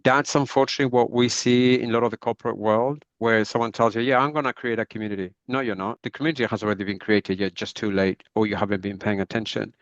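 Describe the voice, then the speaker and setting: A middle-aged Spanish-accented man talks in a low voice with little rise and fall. His tone is smooth and resonant, and he tends to tag his thoughts with "right?". The sound is dry and a little dull. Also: fairly even intonation, fast, few pauses, no echo. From northwest Spain. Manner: reflective, often ends points with "right?". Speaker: podcast guest. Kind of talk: conversational interview.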